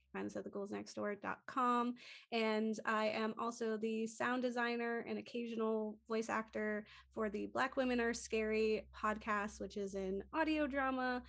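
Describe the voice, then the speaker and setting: Higher-pitched woman, casual plug. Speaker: voice actor and podcaster. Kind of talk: casual self-introduction and plug.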